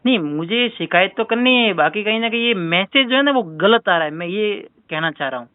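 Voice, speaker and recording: A high-voiced man presses a complaint on a phone call. He talks fast and insistently, circling back to his point. The sound is thin telephone audio with very little room in it. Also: animated, clear, resonant tone, dry recording. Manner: insistent, repeatedly arguing the point. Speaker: caller filing a complaint with a helpline. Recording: narrow-band phone call recording.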